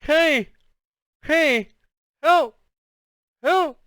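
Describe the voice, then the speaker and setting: A frantic young boy, as a male storyteller puts him on begs for help in a high, bright voice. His words come out muffled, as if his mouth is stuck, and he repeats his tearful pleas urgently. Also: extremely slow, dry recording, studio quality, heavily acted. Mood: panicked pleading. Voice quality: muffled, mouth-full mumble. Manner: pleading, repeating cries for help. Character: panicked, crying boy with lips stuck fast.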